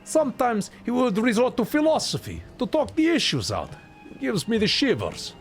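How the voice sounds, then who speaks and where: A man's voice: very high voice, huge pitch swings, normal speed, faint music behind, voice pushed up, heavily acted. A man voicing a proud, theatrical storyteller from a fantasy game in a mock Russian accent.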